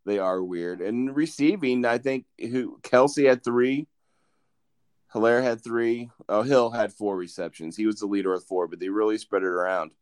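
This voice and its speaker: A man's voice: on the low side, normal speed, clear, resonant tone. A man hosting a casual sports podcast, reading off game stats with frequent fillers and restarts. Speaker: podcast host.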